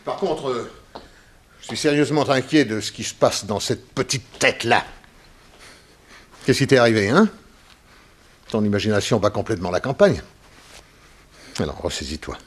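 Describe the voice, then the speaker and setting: A man's voice: medium pitch, expressive, moderate pace, pauses often, slightly rough. An actor playing an emotional, faltering fifty-year-old financier besotted with his mistress in a 1960s radio melodrama. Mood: wary, cajoling.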